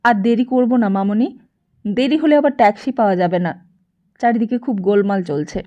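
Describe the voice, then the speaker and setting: Woman doing a gentle dutiful husband in an audiobook, polite, high-ish voice, lofi sound. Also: normal speed, highs cut off, natural voice. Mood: mildly hurried. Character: mild, dutiful husband and son.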